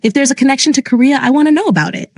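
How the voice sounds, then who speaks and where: A woman's voice: sits high, a little mellow, dry recording, studio quality, voice pushed up. A woman doing a controlled crime boss. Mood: commanding. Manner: measured, carefully controlled.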